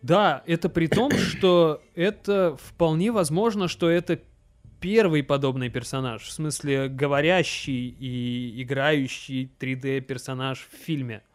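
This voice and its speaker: A young man co-hosting a podcast, chatting casually with lots of fillers and quick restarts. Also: fairly high pitch, fairly even intonation. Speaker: podcast co-host. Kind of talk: casual chat.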